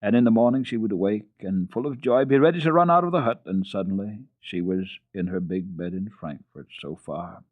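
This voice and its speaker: A man narrating a children's storybook dramatically, with expressive, deliberate phrasing and pauses. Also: on the low side, dry recording, phone-quality sound. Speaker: reader of children's classics on a radio program.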